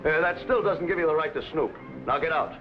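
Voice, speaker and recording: Male actor as anxious, authoritative landowner, clipped and urgent, slightly high voice. Old lo-fi broadcast drama. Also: quick pace, lightly acted. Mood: irritated, defensive. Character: worried, secretive, commanding landowner fearing gold will ruin him. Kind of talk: 1950s adventure drama acting. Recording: dull old broadcast, loud music.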